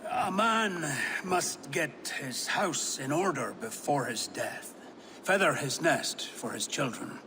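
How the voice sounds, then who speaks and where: An actor playing a proud, scheming older chieftain in a video game, theatrical and measured, with a wry edge. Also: male voice, on the high side, animated, pushed and bright, slight background noise, exaggerated voice. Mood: grave. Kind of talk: dramatic video game acting. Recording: long reverb, music behind.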